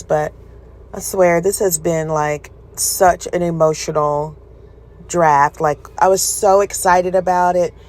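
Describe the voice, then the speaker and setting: A woman's voice: on the low side, darkish tone. A woman hosting a commentary video, talking informally and emphatically with strong opinions about sports news.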